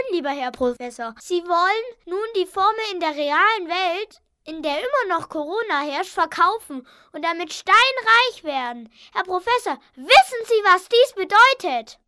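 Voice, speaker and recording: A child playing an excited, self-assured male secret agent in a children's radio play, declaiming the lines. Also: extremely high pitch, normal speed, hardly any pauses, no echo, clean studio sound, heavily acted.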